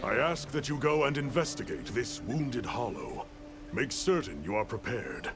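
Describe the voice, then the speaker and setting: An actor playing a senior scholarly guild professor in a video game, speaking in a formal, measured, authoritative way. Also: male voice, mid-range voice, very animated, over music, lightly acted. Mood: formal, commanding. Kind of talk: video game cutscene acting.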